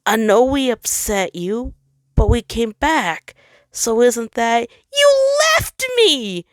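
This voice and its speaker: Low-voiced woman narrating a story, dry sound. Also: no room sound. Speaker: fan fiction reader. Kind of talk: dramatic scripted story narration.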